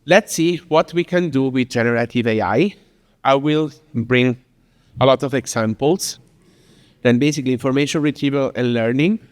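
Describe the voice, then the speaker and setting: An Italian man with a middle-pitched voice speaks to an audience in a casual, rambling way, restarting his sentences often. The sound is dry up close, with a long reverb tail from a hall. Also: normal speed, smooth. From Italy. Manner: chatty, with frequent fillers and restarts. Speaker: speaker at a business talk. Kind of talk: informal presentation to an audience. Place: venue in Lisbon.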